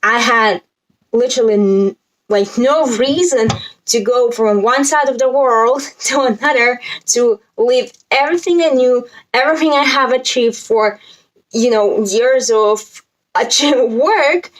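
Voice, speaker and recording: Slow, higher-pitched Latvian-accented young woman. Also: poor audio. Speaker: spiritual self-help vlogger. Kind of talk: casual talk to camera, explaining a list.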